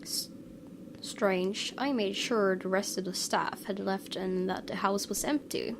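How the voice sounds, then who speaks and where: A woman's voice: medium pitch, fairly even intonation, a little slow, gravelly, noisy background. A woman gaming YouTuber doing a let's play, reading story text aloud expressively with casual asides. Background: game music.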